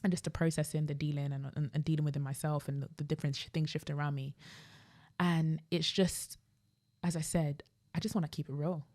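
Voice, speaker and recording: A woman's voice: deep voice, flat delivery, a little fast, a little mellow. A young British woman with a London accent chatting casually and emphatically as a podcast host. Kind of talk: casual chat.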